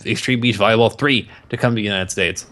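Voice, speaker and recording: A man's voice: lowish voice, fast talker, fairly bright tone. A man co-hosting a podcast in casual banter, joking and ranting with plenty of swearing. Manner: profane, jokey ranting. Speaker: podcast co-host.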